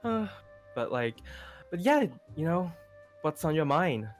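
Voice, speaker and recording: A man's voice: on the high side, sing-song, measured pace. A man playing an easygoing young confidant in an improvised game roleplay, talking in a casual, rambling, friendly way. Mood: chummy. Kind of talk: improvised Minecraft roleplay acting. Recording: music behind the voice.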